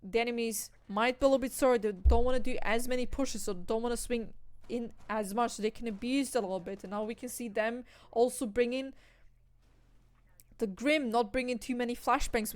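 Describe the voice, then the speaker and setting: A young woman from Austria, commentating an esports match solo, breaking down tactics and lifting into excited calls on the kills. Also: a little high, a little fast, dry recording. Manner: analytical, rising to excited bursts on kills. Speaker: esports caster.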